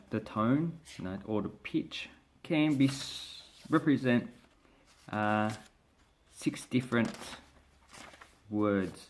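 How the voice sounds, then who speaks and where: An Australian man talks through a casual lesson in a lowish voice. He takes his time, moving at an easy, slow pace and often stopping on fillers and restarts as he points to pages in a book. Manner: relaxed, slow and hesitant, with many fillers and restarts; explains by showing pages from a book. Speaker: language vlogger. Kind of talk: casual spoken lesson to camera.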